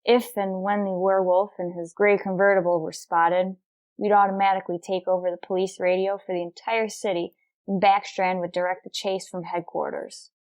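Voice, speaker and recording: A woman's voice: medium pitch, normal speed, lightly acted, poor audio. A woman playing a determined, businesslike police detective sergeant, narrating a radio crime drama in a clipped, matter-of-fact way. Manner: terse, deadpan, procedural. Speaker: narrator and lead actor.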